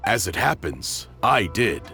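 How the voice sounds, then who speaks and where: An actor playing a helpful, cautious townsman in a video game dub, calm and concerned. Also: fairly low pitch, unhurried, creaky, soft background music, heavily acted.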